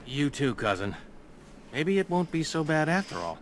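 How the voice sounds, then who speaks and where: An actor playing a wry, good-natured young bridegroom in a video game. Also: male voice, on the high side, very animated, normal speed, slight background noise. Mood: wry, reassuring. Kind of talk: video game dialogue acting.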